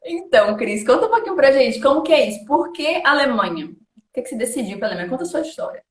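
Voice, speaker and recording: A chatty woman hosts a live-stream interview and laughs easily. Her voice sits a little high. The room around her rings with echo. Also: sing-song, fast. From Salvador, Bahia, Brazil. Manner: chatty, friendly, laughs often. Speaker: host, German teacher. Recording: echoey room.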